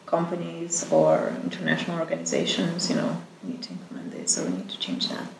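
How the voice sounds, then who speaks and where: Gravelly-voiced woman asking questions. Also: on the low side, sing-song, brisk. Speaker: podcast host. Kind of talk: interview questions. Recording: echoey room with clear background noise.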